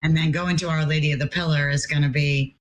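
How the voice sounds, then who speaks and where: Guest tour coordinator, woman, raspy today, fairly flat intonation. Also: deep voice, fast talker. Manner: chatty, with frequent fillers. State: raspy, hoarse voice. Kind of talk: casual interview chat.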